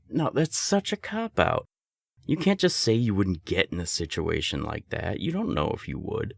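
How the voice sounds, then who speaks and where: Deep, expressive young male friend played by a man. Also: a little slow, vocal fry, no echo, exaggerated voice. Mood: playful arguing. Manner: conversational, hesitant, emphatic swearing. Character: serial-dater friend, exasperated, warm, secretly smitten. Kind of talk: intimate audio roleplay acting.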